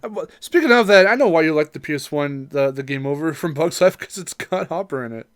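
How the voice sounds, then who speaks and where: A man's voice: a little high, animated, quick pace, smooth, dry recording, studio quality. A man co-hosting a podcast, chatting casually about video games in a playful, joking way. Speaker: podcast co-host.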